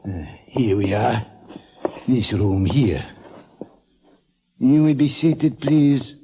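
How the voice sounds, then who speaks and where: An actor in a 1940s radio drama playing a solemn, eerie, ceremonious attendant who gives ominous, measured instructions. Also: male voice, medium pitch, lively intonation. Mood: ominously polite. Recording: old radio recording with hiss.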